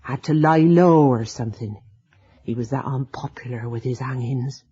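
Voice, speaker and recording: An actor playing an elderly, deferential rustic servant with a husky voice, hesitant and gossipy, in a radio drama. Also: medium pitch, normal speed, dull audio, close to an everyday voice. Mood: confiding. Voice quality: husky, breathy. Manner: hesitant, repeating, hushed storytelling.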